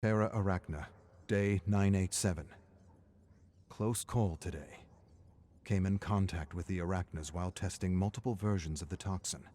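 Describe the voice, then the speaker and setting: A man voicing a weary survivor scientist recording game audio logs, measured and grave, his phrases trailing off into a hush. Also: deep voice, close to an everyday voice. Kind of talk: scripted dramatic audio log narration.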